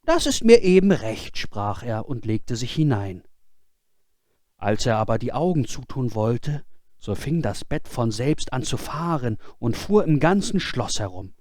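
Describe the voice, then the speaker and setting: A male audiobook reader narrates a fairy tale with dramatic expression. His pace is slow and his pitch moves in lively arcs, in a dry, close recording. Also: no room sound.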